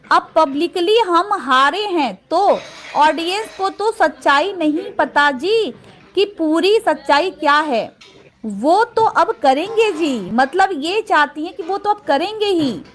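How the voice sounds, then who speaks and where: A woman's voice: very high voice, a bit bright. A woman doing casual vlog commentary, reading messages aloud and addressing viewers directly in an indignant, emphatic manner.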